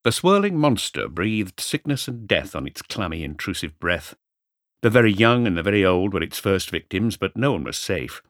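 A man reading a Victorian mystery novel aloud in a measured, literary storytelling manner. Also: deep voice, sing-song, fairly bright tone, dry recording, studio quality. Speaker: audiobook narrator. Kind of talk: scripted first-person narration of a Victorian mystery novel.

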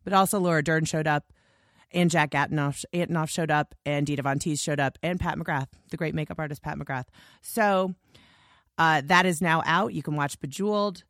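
Woman podcast host, voice scratchy and hoarse from losing it, chatty and effusive, dry close sound. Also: sits low, steady tone, normal speed, fairly bright tone, no room sound. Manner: gushing, rambling with restarts. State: hoarse, lost voice. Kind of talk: casual podcast monologue.

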